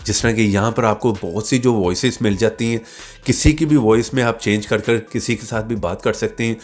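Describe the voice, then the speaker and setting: A man's voice: fairly low pitch, fairly quick. A man hosting a tech tips channel, giving app tutorial narration step by step, often adding little check-in tags as he instructs.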